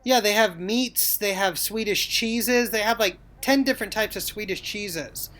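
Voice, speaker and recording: Bright-voiced woman chatting on a podcast. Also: medium pitch, a little slow. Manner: chatty, jokey, winds up long anecdotes. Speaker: podcast co-host. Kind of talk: casual chat.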